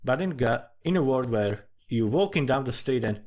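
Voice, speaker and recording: A man's voice: medium pitch, animated, normal speed, a little mellow. Profane, rambling man thinking aloud. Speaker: amateur fantasy writer. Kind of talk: rambling off-the-cuff worldbuilding commentary.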